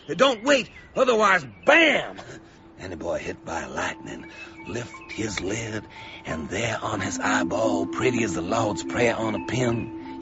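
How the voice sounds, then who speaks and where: A man playing an ominous, preacher-like lightning-rod salesman in a radio drama, urgent and theatrical. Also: mid-range voice. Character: fervent, ominous travelling lightning-rod salesman warning boys of storms. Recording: muffled radio drama with music.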